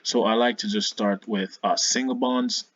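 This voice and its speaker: A man's voice: on the low side, steady tone, normal speed, fairly bright tone, dry recording, muffled recording. A man giving an informal science tutorial as a video host, hesitant, often repeating and correcting himself.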